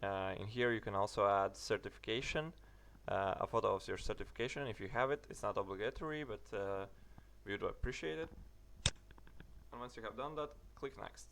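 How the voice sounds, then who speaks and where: A young British man narrating a website walkthrough, halting with many fillers and restarts. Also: on the low side, creaky, fairly bright tone, a little hiss or hum. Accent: British English. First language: English. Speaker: tutorial narrator. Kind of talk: screen-recorded tutorial walkthrough.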